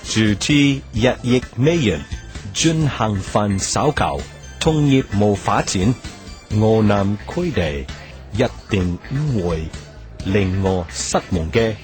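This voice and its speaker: An actor playing a powerful, measured media tycoon in a Cantonese radio drama, speaking in halting, deliberate phrases. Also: male voice, lowish voice, expressive, a little mellow, faint music behind. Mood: measured, assured.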